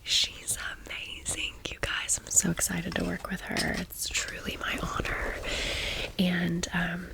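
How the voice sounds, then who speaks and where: Slow whispering woman, ASMR storyteller. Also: fairly low pitch, completely flat delivery, vocal fry, rough recording. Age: 30s. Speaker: ASMR vlogger.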